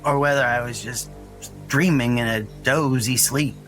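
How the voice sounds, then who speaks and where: An actor playing an earnest, anxious boy in an audio drama, talking in a simple, hurried, confiding way. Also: mid-range voice, a bit bright, soft background music, natural voice.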